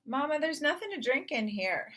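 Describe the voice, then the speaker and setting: A woman voicing a dramatic, complaining teenage big sister from a storybook, loud and whiny. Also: high-pitched, big pitch swings, normal speed, voice pushed up.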